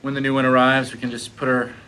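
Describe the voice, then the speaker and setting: A goofy, warmhearted family man in his thirties, read by an actor at a table read. He sounds earnest and jokey. Room noise carries clearly beneath the voice. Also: mid-range voice, level tone, fast, lightly acted. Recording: noisy room ambience.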